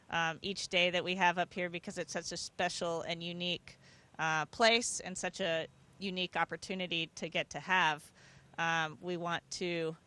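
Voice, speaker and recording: Bright-voiced woman astronaut in an interview. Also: lowish voice, smooth. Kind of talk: thoughtful conversational interview answers. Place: aboard a space station.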